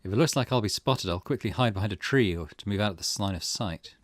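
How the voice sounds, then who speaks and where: A man's voice: fairly low pitch, animated, fast, no room sound, natural voice. A man voicing a wary, reserved young protagonist of a mystery game, hesitant and understated. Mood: pensive.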